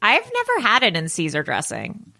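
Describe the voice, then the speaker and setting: A woman's voice: mid-range voice, huge pitch swings, normal speed, gravelly, sharp tone. A woman co-hosting a podcast, chatty and enthusiastic in casual conversation. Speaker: podcast co-host. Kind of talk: casual chat.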